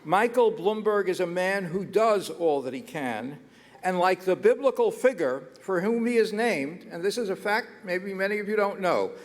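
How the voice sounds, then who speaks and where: High-voiced rabbi speaking in a hall. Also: male voice. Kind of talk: speech with sermon cadence. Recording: reverberant hall.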